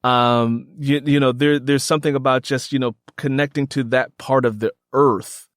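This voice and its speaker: Male podcast host and storyteller, mid-range pitch, dry close recording. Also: fairly even intonation, normal speed, no echo. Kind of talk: conversational interview and scripted audio drama narration.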